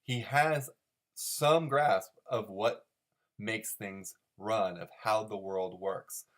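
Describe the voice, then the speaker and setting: A man's voice: lowish voice, slow pace, fairly bright tone. A man hosting a solo commentary show, talking off the cuff in a mock-serious, overanalytical way and repeating phrases for emphasis. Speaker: host of a comic-strip commentary show. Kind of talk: rambling, unscripted solo commentary.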